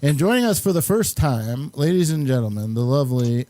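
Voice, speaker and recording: A man hosts a casual show in a mellow, relaxed voice of middling pitch. He speaks haltingly, feeling his way through introductions and informal remarks. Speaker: show host.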